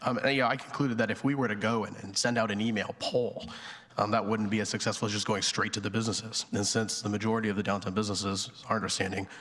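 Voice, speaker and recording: A man with a hoarse voice from a cold, a town planning director presenting to a board with many ums and restarts. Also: lowish voice, level tone, fast, fairly bright tone. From North Carolina. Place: town council chamber at a podium. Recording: long reverb in a council chamber.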